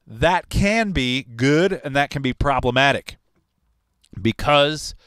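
A man in his forties, a recording engineer and host, talking in a relaxed, rambling, technical way in a studio control room on a broadcast mic. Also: mid-range voice, takes it slowly, clean tone, no echo. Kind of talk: casual livestream talk on recording. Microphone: large broadcast mic on arm, headphones on.

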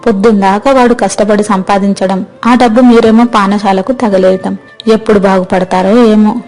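A woman voicing an anxious, nagging village mother of grown sons in an animated moral story. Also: mid-range voice, brisk, soft background music, natural voice. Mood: scolding.

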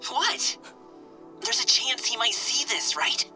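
A man's voice: very high-pitched, expressive, normal speed, bright, forceful tone, faint music behind, full character voice. An actor playing an earnest, eager young man in a video game drama, speaking sincerely and informally. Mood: eager, calling out. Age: young adult. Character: earnest, eager young male firefighter, raised as an orphan.

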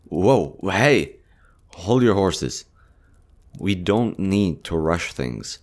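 A man voicing a gentle, smitten army general in a romantic roleplay audio, tender, earnest and a little hesitant. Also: low voice, animated, drawn-out words, heavily acted. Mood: cautious, affectionate. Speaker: voice actor.

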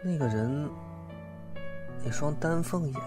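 A man's voice: a little high, takes it slowly, music in the background. A young man acting an earnest, smitten and flustered young roommate in an audio drama. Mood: stunned, musing.

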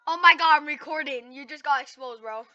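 A young gamer chatting casually with friends while playing, excitable and given to foul-mouthed teasing. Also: high-pitched, a little fast, dull audio. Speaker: video game player. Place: gaming voice chat over screen capture.

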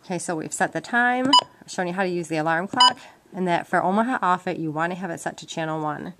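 A woman's voice: lowish voice, fast. A woman gives an informal, practical step-by-step demonstration in a conversational, explanatory way. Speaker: instructor demonstrating a gadget. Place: indoors at a wooden table. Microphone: handheld camera mic, off screen. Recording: noisy amateur camcorder sound with clicks and beeps.

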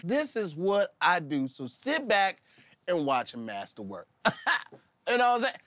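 A man banters in a playfully boastful way. His voice is bright and forceful, with extremely animated pitch. The sound is dry with faint music behind. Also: unhurried, no echo. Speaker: comedian contestant. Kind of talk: casual comic banter. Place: podcast studio.

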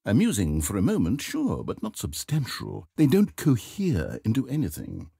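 An actor voicing a video game's narrator: a man with a polite, theatrical manner, breathy and fretful. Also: lowish voice, wildly swooping pitch, normal speed, dark tone, no room sound, exaggerated voice. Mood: earnest. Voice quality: breathy, dry close-miked studio voice. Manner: polite, fretful, swinging between grandeur and self-doubt. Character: fussy, theatrical male narrator of a video game. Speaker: game narrator. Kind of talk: scripted game voice acting. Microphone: studio microphone.

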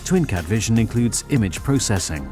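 A man reading a scripted news voice-over in a measured, formal way. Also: on the low side, sing-song. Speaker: narrator. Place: studio voice-over. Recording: voice-over over loud music. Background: music.

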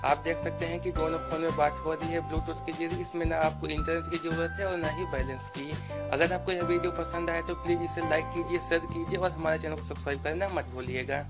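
Man's tutorial voice-over over loud music. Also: fairly high pitch, fairly even intonation, fairly quick. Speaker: tutorial presenter.

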